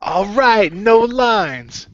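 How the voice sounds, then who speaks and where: Casting: a man as a gung-ho young superhero trainee, high excited voice, slightly dull home recording. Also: very slow, no room sound, exaggerated voice. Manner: whooping, gung-ho.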